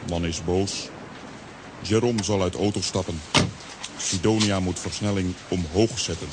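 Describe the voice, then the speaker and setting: A man acting a gruff, simple-minded strongman in a children's radio play, speaking in clipped phrases without articles. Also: low voice, steady tone, measured pace, noisy background. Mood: placid, matter-of-fact. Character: slow-witted gruff strongman, simple and placid.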